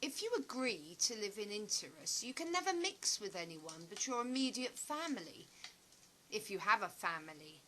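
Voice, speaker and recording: Bright, emphatic, nervy eccentric woman, acted. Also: mid-range voice, slight background noise, rough recording. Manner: rambling, emphatic, theatrical. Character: eccentric, nervous rambling former actress in dystopia. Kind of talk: audio play acting.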